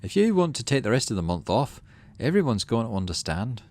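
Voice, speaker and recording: A man voicing a gentle father in a game, offering hesitant, tender reassurance to his child. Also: mid-range voice, sing-song, brisk, voice pushed up.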